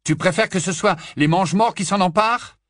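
A man's voice: high voice, fairly quick, fairly bright tone, no room sound, studio quality, pitched above the performer's own voice. A man voicing a brusque, decisive young man in an audiobook, who speaks abruptly and with emphasis.

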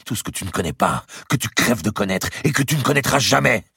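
Casting: condemned princess played by a man, defiant and cutting, hurried breathy delivery, raised husky voice, for dramatic audiobook. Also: sits low, fairly bright tone, no room sound, studio quality, pitched above the performer's own voice, exaggerated voice. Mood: taunting, passionate. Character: defiant, spiteful condemned young princess, proud and passionate.

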